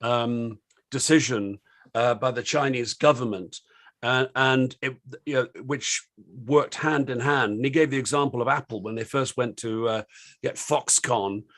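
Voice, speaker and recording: A British man with a slightly croaky voice opens a panel discussion over a video call. He talks in a rambling, hesitant way, stopping and restarting with plenty of ums and uhs. His pitch sits in the middle range. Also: a little slow, a bit bright. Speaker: host of an online panel discussion.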